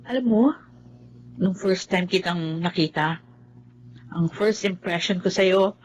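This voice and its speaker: An actress playing a calm young woman who gently and honestly speaks her mind to a friend. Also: lowish voice, slow pace, rough recording. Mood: earnest. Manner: gentle, earnest.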